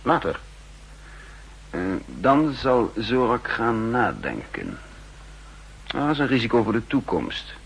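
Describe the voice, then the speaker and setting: An actor playing a shrewd, calm spymaster in a 1960s radio play, speaking in a measured, deliberate way. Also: male voice, mid-range voice, vocal fry, slightly rough, full character voice, low-quality recording. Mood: cool, calculating. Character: shrewd, calm male spy posing as counterintelligence chief. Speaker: lead character and narrator. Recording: hissy, humming 1960s radio recording.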